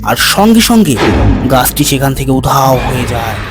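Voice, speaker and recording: A man's voice: mid-range voice, sing-song, brisk, music in the background. A man narrating a scripted cartoon moral story as a storyteller.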